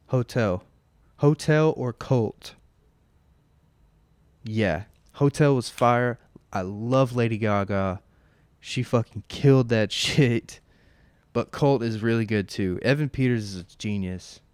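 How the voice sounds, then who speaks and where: A man's voice: medium pitch, smooth, no echo. A man in his twenties hosting a casual solo podcast from home, rambling and profane, full of fillers and restarts. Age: 20s. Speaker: podcast host. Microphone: close mic at home.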